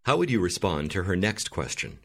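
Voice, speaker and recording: A man narrating a language course, calmly and clearly prompting the learner through drills. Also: sits low, moderate pace. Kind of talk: scripted instructional narration.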